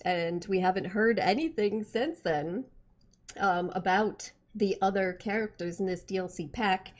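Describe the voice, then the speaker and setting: A woman hosting a gaming reaction video, chatting casually and reacting with exclamations, her voice slightly hoarse from being sick. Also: medium pitch.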